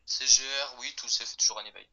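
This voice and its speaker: Man, short hesitant replies, very bright, muffled audio. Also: medium pitch, fairly even intonation, quick pace. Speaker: guest player. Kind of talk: casual gaming chat.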